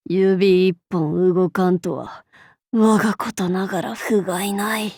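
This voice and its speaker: A woman playing a brash, cocky young feudal warlord in an anime game, with rough, masculine, archaic and boastful speech. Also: medium pitch, steady tone, takes it slowly, a little mellow, no room sound, clean studio sound. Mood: weakened, resigned. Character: brash, cocky young feudal warlord, self-styled fool, fiercely loyal. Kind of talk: anime game character voice acting.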